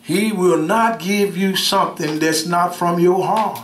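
A middle-aged minister preaching a sermon, with call-and-response, repeated words and swings between shouts and soft asides. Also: male voice, high-pitched, measured pace. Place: church sanctuary, indoors, at a pulpit. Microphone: pulpit mic from a far camera. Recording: roomy church sound from far off.

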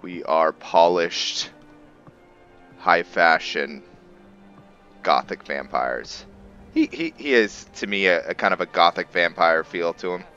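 Deep-voiced man, halting gameplay commentary. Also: pushed and bright, clear, resonant tone, muffled recording. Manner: halting, thinking aloud. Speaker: host of a video game roleplay series. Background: game music.

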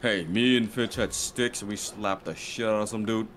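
A man chatting and reacting loudly while gaming with friends, swearing freely. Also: fairly low pitch, fairly even intonation, moderate pace, a little hiss or hum. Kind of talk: casual gaming chat.

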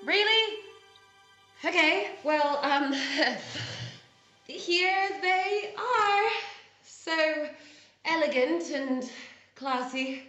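A young actress playing a flustered, casual Cinderella in a comic pantomime, hesitant and offhand. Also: female voice, very high voice, drawn-out words, long pauses, sharp tone, roomy sound, dull audio, faint music behind, exaggerated voice. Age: young adult. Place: room with brick wall, video call.

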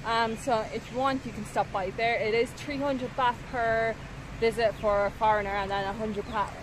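A woman's voice: on the high side, fairly even intonation, moderate pace. A young woman in her twenties, chatting upbeat travel tips while vlogging outdoors on a handheld camera. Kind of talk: casual travel vlog chat. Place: outdoors on a hot day. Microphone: handheld camera at arm's length. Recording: outdoor noise behind voice.

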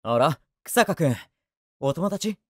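A man voicing a gentle, eccentric young idol teacher in an anime voice drama, speaking courteously like a teacher. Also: fairly high pitch, animated, no echo. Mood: polite. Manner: courteous, measured teacherly address.

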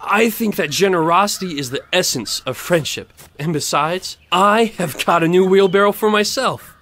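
An actor playing a pompous, self-righteous, grasping miller in an audio storybook drama, preachy in manner. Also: male voice, high voice, moderate pace, a bit bright, slight background noise. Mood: self-satisfied. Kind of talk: audio theatre storybook acting.

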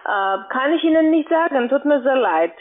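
Female phone sales agent, fairly high pitch, insistent and formal, thin telephone sound. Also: expressive, moderate pace. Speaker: call center agent. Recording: tinny band-limited phone line.

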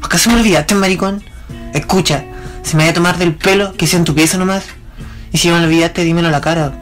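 A woman's voice: lowish voice, fast, faint music behind, close to an everyday voice. A woman with a Chilean accent playing a hurt, defiant, lovesick teenage boy in a confrontational radio drama. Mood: reproachful, pleading. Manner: colloquial, confrontational.

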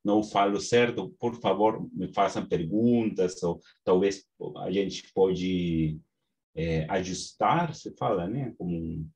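A man from Peru with a Peruvian Spanish accent, teaching an online class in Portuguese with slow, halting speech and many restarts, over a video call from a small home study. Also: deep voice, a little mellow. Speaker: course instructor. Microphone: webcam on video call.

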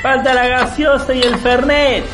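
Woman as high-voiced youngster, echoey. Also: level tone, a little slow, noisy background. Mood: helpful. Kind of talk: radio play acting. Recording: echoey room, music behind.

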